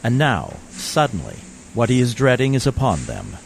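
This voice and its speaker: A man's voice: medium pitch, huge pitch swings, moderate pace, poor audio. A man narrating a scripted old-time radio drama as its announcer. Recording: clear background noise.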